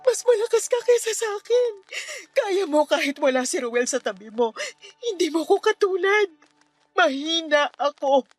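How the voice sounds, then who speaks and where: A woman's voice: very high voice, sing-song, normal speed, dry recording. A woman playing a worn-out, despairing young mother in a Filipino radio drama, with a Filipino accent and a sullen, pleading manner. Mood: desperate pleading. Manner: curt and sullen, breaking into tearful pleading. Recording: music behind the voice.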